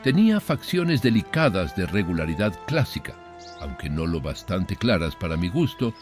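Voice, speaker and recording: A man's voice: deep voice, big pitch swings, normal speed, faint music behind. An actor playing a bitter, brooding older father, telling a horror story in a solemn, ominous, literary manner. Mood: brooding, uneasy. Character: brooding, bitter older father recounting his son's marriage. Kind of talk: dramatic horror audiobook narration.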